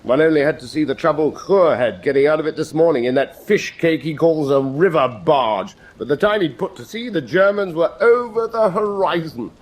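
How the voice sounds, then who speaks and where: An actor playing a pompous, boastful and eccentric British naval commander, with a clipped English officer's delivery. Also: male voice, fairly high pitch, animated, a little fast, few pauses. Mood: scornful, boastful. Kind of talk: radio drama acting. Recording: noisy ambience behind the voice.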